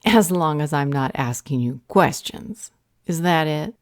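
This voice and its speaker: A woman playing a defiant, possessive, sharp-tongued vampire healer in an audio drama, speaking in a cutting, deliberate way. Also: low voice, expressive, no room sound, clean studio sound. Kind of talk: dramatic audio roleplay acting.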